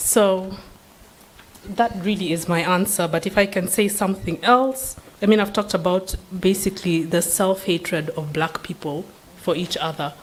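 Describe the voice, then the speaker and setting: A woman's voice: on the low side, moderate pace, a little hiss or hum, poor audio. A woman speaking as a seminar panelist, giving an unscripted answer in an earnest, questioning way with frequent restarts. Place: seminar room.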